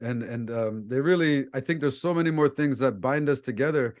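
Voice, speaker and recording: A man's voice: medium pitch, a little fast, a little mellow. A man from Egypt hosting a casual interview, talking in an opinionated, anecdotal way. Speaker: host, debate coach interviewing a guest.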